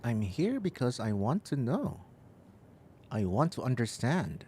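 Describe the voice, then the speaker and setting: A man's voice: mid-range voice, big pitch swings, unhurried, mellow, a little hiss or hum. A man doing casual live commentary on a game playthrough, sometimes reading dialogue aloud. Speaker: gaming streamer.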